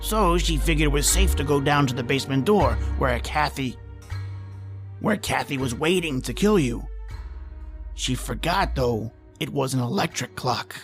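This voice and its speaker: Casting: actor for male investigator, radio thriller. Mid-pitched, knowing, matter-of-fact delivery, faint music underneath. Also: lively intonation, moderate pace, close to an everyday voice. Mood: ominous, knowing. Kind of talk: old-time radio thriller acting.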